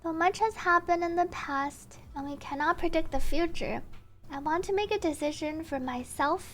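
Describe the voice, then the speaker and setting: Woman reading as young female singer, game dialogue, very high pitch, sincere, gracious, faint music behind. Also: normal speed, a bit bright. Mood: earnest, tender.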